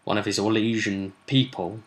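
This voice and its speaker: A man's voice: on the low side, flat delivery, moderate pace. A man telling the story of a role-play session as game master, in an informal, slangy and mildly sweary way.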